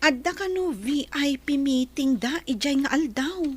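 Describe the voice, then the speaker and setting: A woman's voice: high voice, lively intonation, measured pace. A woman with an Ilocano Filipino accent playing a bashful young woman in a comedy radio drama, coy and protesting. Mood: defensive. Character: bashful young woman, denies having a crush.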